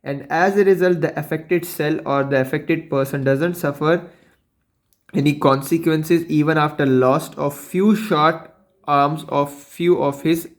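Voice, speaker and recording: Man with a higher voice, explaining. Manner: didactic, asks and answers his own questions. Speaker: lecturer. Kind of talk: explanatory science lesson.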